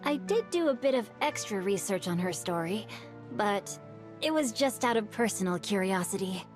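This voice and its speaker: A woman voicing a courteous, well-read young male swordsman who tells an old tale in a measured way, in video game dialogue. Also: medium pitch, lively intonation, faint music behind, natural voice. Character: bookish young male swordsman, polite and thoughtful.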